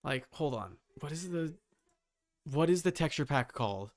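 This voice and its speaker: A man's voice: on the high side, lively intonation, normal speed, faint music behind. A man giving casual livestream commentary on a game, thinking aloud in slangy language with some swearing. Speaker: livestream host.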